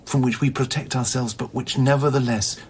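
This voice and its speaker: An actor in a radio play, playing a bitter, volatile space-station scientist who philosophizes intensely and haltingly, dropping at times toward a breathy near-whisper. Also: male voice, medium pitch, steady tone, slight background noise, lightly acted. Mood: bitter, impassioned. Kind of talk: dramatic radio play acting.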